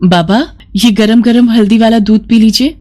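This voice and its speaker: A woman voicing a devoted, gentle young wife in a cartoon story, speaking earnestly. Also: a little high, fairly even intonation, normal speed, natural voice. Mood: caring.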